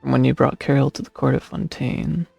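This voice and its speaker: A man's voice: medium pitch, fairly even intonation, brisk, gravelly, dark tone, husky, no room sound, soft background music. A man streaming a video game, commenting casually and reading dialogue options aloud.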